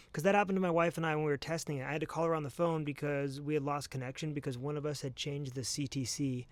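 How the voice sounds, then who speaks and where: An American man talking casually to camera as he reviews a product. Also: fairly high pitch.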